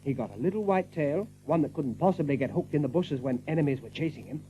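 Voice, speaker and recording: A man narrating a story in an expressive, deliberate, dramatic way. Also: fairly high pitch. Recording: tinny narrow-band audio with hum.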